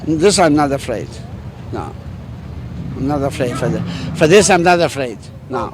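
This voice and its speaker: A man's voice: mid-range voice, lively intonation, normal speed. A middle-aged man, a shopkeeper, answering a street news interview in short, repetitive, non-native-sounding phrases. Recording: 1970s newsreel, faint street noise.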